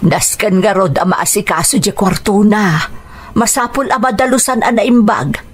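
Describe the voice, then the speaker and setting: A woman's voice: mid-range voice, moderate pace, husky, close to an everyday voice. A woman playing a caring, anxious mother in a radio drama, tender and earnest, with an Ilocano accent. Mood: tender, longing.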